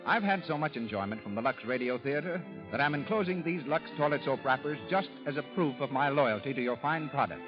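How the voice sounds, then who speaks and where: A man with an American accent announcing a scripted 1930s radio show in a formal, emphatic showman's delivery. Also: fairly high pitch. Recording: dull 1930s radio broadcast, music behind.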